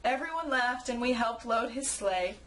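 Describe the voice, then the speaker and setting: A woman narrating a rhymed children's story in an expressive, theatrical way. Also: a little high, normal speed. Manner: theatrical, acts out character lines.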